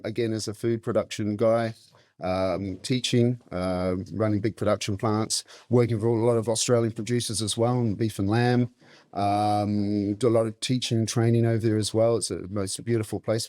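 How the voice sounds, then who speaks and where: A middle-aged New Zealand man talks in a casual, conversational way. His voice sits on the low side. The recording is dry and close, with hardly any room sound. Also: flat delivery, normal speed, darkish tone, no room sound. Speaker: interview guest, meat specialist and butcher.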